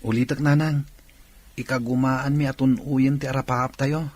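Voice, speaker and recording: Earnest young man, medium-pitched played by an actor. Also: little pitch movement, moderate pace, darkish tone, close to an everyday voice. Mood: determined. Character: earnest, protective young student, an older brother. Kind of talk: Ilocano radio drama acting.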